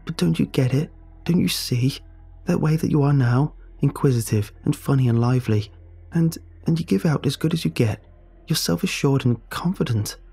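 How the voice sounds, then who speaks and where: A man's voice: mid-range voice, moderate pace, gravelly, dark tone, husky, soft background music, pitched above the performer's own voice. A man voicing an earnest young man who pleads desperately with a friend. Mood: pleading.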